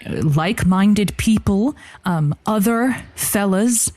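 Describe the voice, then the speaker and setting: Woman playing mellow, slow, soothing radio advice host. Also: mid-range voice, lightly acted. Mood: encouraging. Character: soothing, encouraging female call-in sex-advice radio host. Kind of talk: scripted comedy parody of call-in radio.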